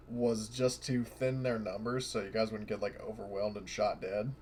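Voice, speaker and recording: A man's voice: on the low side, flat delivery, normal speed, darkish tone, slightly rough. A man speaking casually as a player in a tabletop roleplaying game, on a noisy recording.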